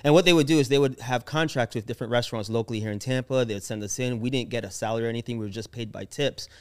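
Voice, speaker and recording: A man in his late thirties, born in Jamaica but without the accent, telling his story as an interview guest with lively laughs. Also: medium pitch, racing, smooth. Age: 30s. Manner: animated, laughs often, expressive storyteller. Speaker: guest, real estate investor.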